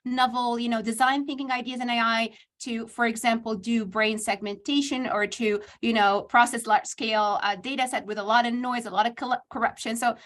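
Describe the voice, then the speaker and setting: A woman's voice: a little high, steady tone, brisk, few pauses, fairly bright tone. A woman from Tunisia, a university professor earnestly explaining how she runs AI training. She speaks spontaneously with many fillers and restarts, as if on a video call. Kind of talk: spontaneous explanation in a virtual panel.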